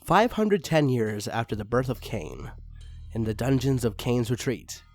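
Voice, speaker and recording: Guy reading a fantasy fanfic dramatically, average pitch, music playing underneath. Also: sing-song, a little slow. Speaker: reader of fan fiction. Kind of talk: dramatic story narration.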